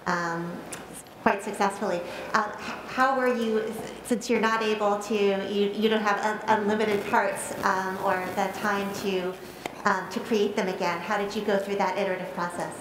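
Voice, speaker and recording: A woman on a school board asking a question in a halting way, searching for her words. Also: medium pitch, fairly even intonation. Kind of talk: meeting Q&A. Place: school library meeting room, indoors. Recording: echoey room with clear background noise.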